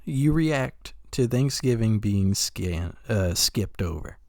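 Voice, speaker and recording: A man's voice: fairly low pitch, lively intonation, dark tone, no echo, studio quality. A man co-hosting a podcast, chatting casually and hesitantly, often murmuring agreement. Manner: slow, hesitant talk with frequent backchannel murmurs. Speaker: podcast co-host. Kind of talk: casual chat.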